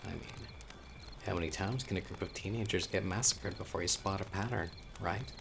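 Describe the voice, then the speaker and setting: Guy acting as casual young dude telling creepy campfire stories, secretly menacing, gravelly voice, faint noisy ambience behind him. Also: deep voice. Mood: wry, spooky. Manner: casual, conversational, hesitant pauses, wry asides. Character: chatty young man, a storyteller hiding a killer's menace. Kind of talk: immersive horror audio roleplay, campfire storytelling.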